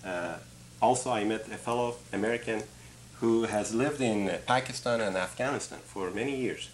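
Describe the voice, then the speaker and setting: Man, middle-aged, native Farsi, earnest and orderly, studio interview, low voice, light hiss. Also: moderate pace, rough recording. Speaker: conference organizer, guest. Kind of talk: structured studio interview answer. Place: TV studio set, indoors.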